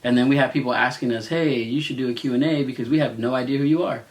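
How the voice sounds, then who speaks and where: A man's voice: medium pitch, fairly even intonation, fast talker, rough recording. A man in his thirties co-hosting a casual couple's Q&A, self-deprecating and jokey about his own awkwardness. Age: 30s. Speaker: co-host of a couple's channel.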